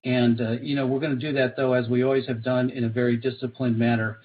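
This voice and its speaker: A man speaking as a corporate executive on an earnings call, in measured, hesitant corporate phrasing. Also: medium pitch, flat delivery. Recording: dull conference call audio, faint noise.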